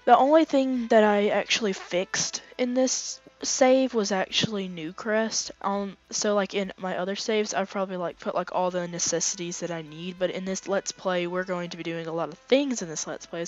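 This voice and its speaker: A young woman with a raspy voice that is fading from illness, chatting casually through a let's play with lots of fillers and asides. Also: lowish voice, fairly even intonation, moderate pace, few pauses, faint music behind. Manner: rambling, filler-heavy, chatty. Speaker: gaming channel host. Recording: slightly dull lo-fi home recording.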